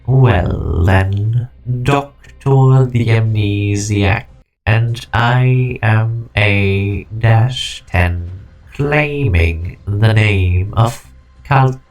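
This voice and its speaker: A man acts out an ancient synthetic guardian of a dying civilization. He speaks very slowly and gravely, with a creaky, lowish voice and weary grandeur. Music plays under this game fan-dub performance. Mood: questioning. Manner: grandiose, slow, ponderous. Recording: music behind the voice.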